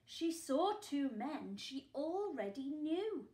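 A woman in her forties, a primary school teacher reading a picture book aloud at home, with gasps and hushed asides for young listeners. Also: high voice, very animated, takes it slowly, roomy sound. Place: living room at home, indoors. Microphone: camera a few feet away.

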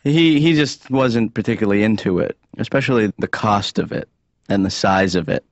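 An actor playing a slacker grown son in a cartoon, talking casually and hesitantly, quick to plead and argue. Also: male voice, fairly low pitch, no echo, muffled recording, close to an everyday voice. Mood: casual, defensive. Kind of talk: improvised-sounding conversational cartoon acting.

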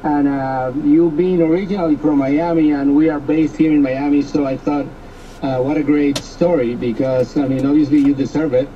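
A male radio host with a slightly high voice asks long questions, tripping over repeated words. He sounds distant and muffled, with a faint hiss behind him. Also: fairly even intonation, moderate pace, dark tone. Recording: distant, dull radio audio.

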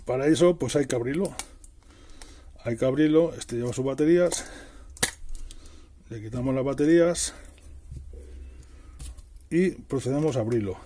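Middle-aged man, very dark mellow voice. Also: mid-range voice, normal speed, pauses often, slight background noise. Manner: explanatory, repeats and rephrases while working. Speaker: DIY tutorial host. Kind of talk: casual hands-on tutorial narration.

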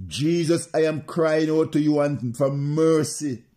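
Slow, fervent preacher man praying aloud. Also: a little high, mellow. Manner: repetitive, insistent, chant-like phrasing. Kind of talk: fervent prayer and preaching to remote listeners.

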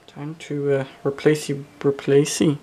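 A man's voice: fairly high pitch, completely flat delivery, a little slow, dark tone. A young man giving casual hands-on commentary as a hobbyist repairer, muttering to himself with exclamations and casual swearing. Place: indoors at a workbench.

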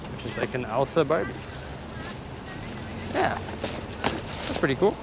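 A young man filming himself in a big store, chatting casually as he weighs up prices and thinks out loud. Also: mid-range voice, huge pitch swings, measured pace, background noise. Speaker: vlogger. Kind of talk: casual shopping vlog. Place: large store aisle, indoors. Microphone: camera held at arm's length.

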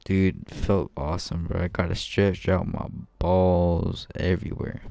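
A man's voice: sits low, little pitch movement, unhurried, gravelly, dark tone, no room sound. A man in casual chat with friends while gaming, joking crudely and swearing a lot. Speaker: gamer.

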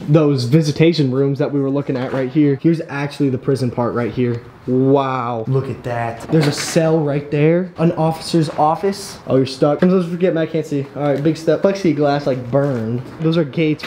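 Young male vlogger, high voice, hyped and exclamatory, handheld camera with noisy outdoor background. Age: young adult. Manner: excitable, laughing, exclaims at finds. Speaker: magnet fishing vlogger. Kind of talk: excited outdoor vlog chat. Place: outdoors by a pond at an abandoned building, then inside it.

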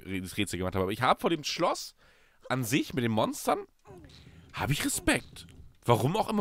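Animated German man, hoarse from a cold. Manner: animated, swings from loud bursts to low mutters. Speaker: let's play host. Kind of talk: casual gameplay commentary.

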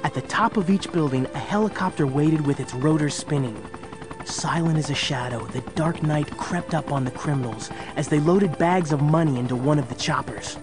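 A man's voice: on the high side, normal speed. A man narrating an audio story in a dramatic, scripted storytelling style. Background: music.

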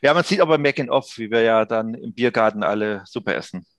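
A man's voice: mid-range voice, a little fast, fairly bright tone, dry recording. Man in casual joking chat, dry sound. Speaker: audio drama maker, interview guest.

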